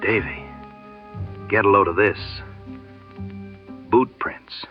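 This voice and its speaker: A man's voice: on the low side, slow, highs cut off. A man playing a skeptical, loyal frontiersman sidekick in an audio drama, speaking in a folksy way. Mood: alert. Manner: folksy, incredulous. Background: music.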